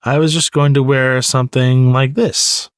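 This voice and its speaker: A man's voice: mid-range voice, fairly even intonation, moderate pace, clean tone, dry recording, pitched above the performer's own voice, lightly acted. Storybook teenage superhero daughter, played by a male reader: casual, reluctant, affectionate, a touch hesitant.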